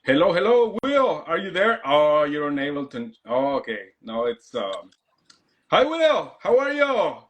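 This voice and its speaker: A man hosts a live online interview, halting a little and repeating words as he talks to his viewers and his guest. His questions come in short, loose bursts. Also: on the high side, very animated. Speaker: host. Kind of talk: live stream interview hosting.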